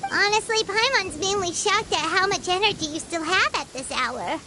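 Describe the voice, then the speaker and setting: A woman voicing a small, chatty female fairy companion in a video game, with a high, squeaky, childlike voice, blunt and bubbly, talking about herself in the third person. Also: lively intonation, normal speed, noisy background. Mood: sleepy.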